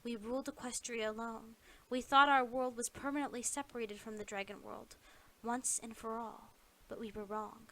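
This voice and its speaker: A woman storyteller with a fairly high, bright and forceful voice. She reads scripted narration dramatically, in the manner of an audio play. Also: steady tone, moderate pace.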